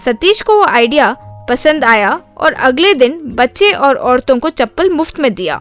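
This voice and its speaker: A woman's voice: sits high, very animated, normal speed, soft background music. A woman narrating a scripted comic village story.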